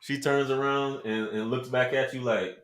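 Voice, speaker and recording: A man with a deep voice hosting a casual livestream, reading chat comments and talking loosely with profanity and laughing asides. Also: fairly even intonation, moderate pace, fairly bright tone.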